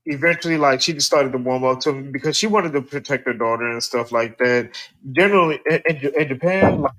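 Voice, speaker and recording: A man's voice: mid-range voice, brisk, fairly bright tone, rough recording. Laid-back man moderator who stammers. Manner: laid-back, stammers, keeps steering back to topic. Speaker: panel moderator. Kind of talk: live-stream panel chat.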